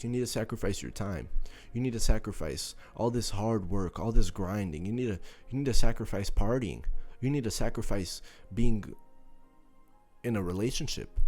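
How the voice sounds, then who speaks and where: A young man in his late teens speaks in a low, dark voice with faint music behind him. He delivers a motivational speech emphatically, driving points home with repetition and rhetorical questions. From born in Serbia, moved to the US. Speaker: motivational content creator.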